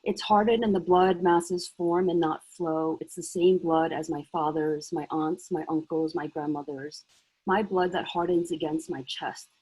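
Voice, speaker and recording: Breathy woman reading intensely. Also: lowish voice, fairly even intonation, a little slow, a little mellow, low-quality recording. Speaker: workshop participant. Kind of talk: reading her prose poem aloud.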